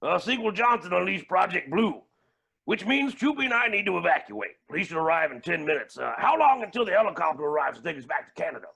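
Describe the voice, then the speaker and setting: A man playing a flamboyant, menacing and unhinged billionaire villain in a staged play reading, with a raspy, creaky voice and grandiose delivery. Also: sits high, sing-song, fast, full character voice. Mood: breezy command. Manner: theatrical, grandiose, swinging from mutters to shouts.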